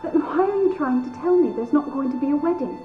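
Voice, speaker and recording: An actress playing an insistent, accusing bride-to-be in a video game, heard in roomy, dull audio. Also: female voice, sits high, expressive, fast, faint music behind. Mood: indignant. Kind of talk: video game character dialogue.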